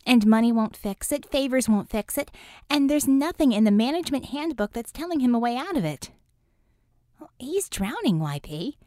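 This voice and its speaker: A woman's voice: fairly high pitch, sing-song, moderate pace, dark tone, dry recording, clean studio sound, pitched above the performer's own voice. A woman voicing an outspoken, feisty young female superhero with a blunt, informal and emphatic way of talking in an audiobook. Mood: earnest, tentative.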